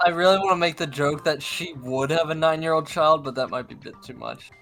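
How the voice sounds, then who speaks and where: Bright-voiced man chatting over a game. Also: a little high, brisk, soft background music. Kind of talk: casual group commentary on a game.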